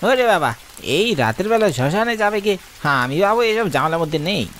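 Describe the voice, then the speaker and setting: A scared village boatman from a horror cartoon, played by a man. His pitch leaps about as he pleads and exclaims, reluctant and superstitious. Light rain sounds behind him. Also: big pitch swings, fairly quick, a bit bright, slight background noise. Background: falling rain.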